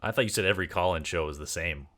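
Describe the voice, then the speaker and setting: A man's voice: low-pitched, racing, a bit bright. A man hosting a baseball podcast, interviewing his guest in a casual, conversational way.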